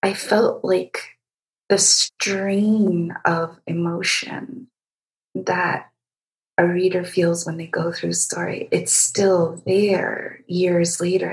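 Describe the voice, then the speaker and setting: A woman from Egypt conducting a literary interview, speaking reflectively and feeling her way through halting phrases. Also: fairly low pitch, steady tone, vocal fry, low-quality recording.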